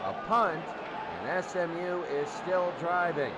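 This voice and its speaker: A man doing excitable play-by-play American football commentary in short, clipped phrases. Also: on the high side, a little slow, very noisy. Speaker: play-by-play sports announcer. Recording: loud crowd and music behind. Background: crowd and music.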